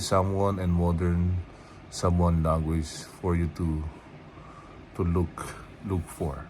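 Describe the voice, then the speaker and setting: A man, a Tagalog speaker, calmly going through vocabulary word by word and spelling some of them out. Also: deep voice, a little hiss or hum. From Philippines. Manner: unhurried, word-by-word, spells words out. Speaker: language teacher. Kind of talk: casual vocabulary lesson.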